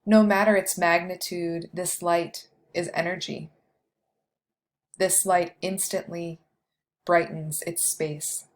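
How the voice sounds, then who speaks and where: A woman guiding a meditation with scripted, poetic narration, delivered in a measured way with long pauses. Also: on the low side, fairly even intonation. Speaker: meditation guide.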